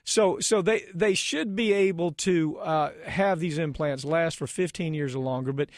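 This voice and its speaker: A man's voice: on the high side, normal speed, dry recording, clean studio sound. An American man hosting a radio talk show, speaking emphatically and indignantly with rhetorical questions.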